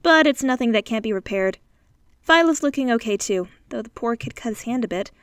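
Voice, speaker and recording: A woman voicing a sharp-tongued young flapper secretary who talks in brisk, slangy bursts. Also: a little high, animated, dry recording, studio quality.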